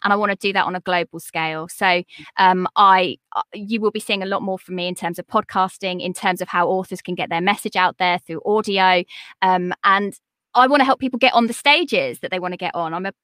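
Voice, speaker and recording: A woman with a high voice, bright and forceful in tone. She talks with upbeat, motivational confidence, and the recording sits close and dry with no room sound around her. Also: a little fast, dry recording. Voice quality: high-pitched. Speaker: podcast and voice coach guest. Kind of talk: energetic interview talk.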